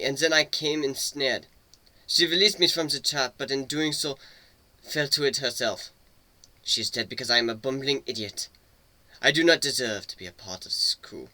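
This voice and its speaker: A man's voice: mid-range voice, bright, forceful tone, no echo, poor audio. A man voicing a remorseful, proud crewman who blames himself, speaking earnestly and confessionally in a dramatic reading. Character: remorseful, self-blaming proud male crew member. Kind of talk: dramatic reading of fan fiction.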